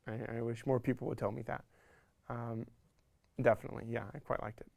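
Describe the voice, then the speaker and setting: A man's voice: medium pitch, level tone, fairly quick, vocal fry, a little mellow, dry recording. A man in his thirties being interviewed for a documentary, reflective and repeating words as he thinks. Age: 30s.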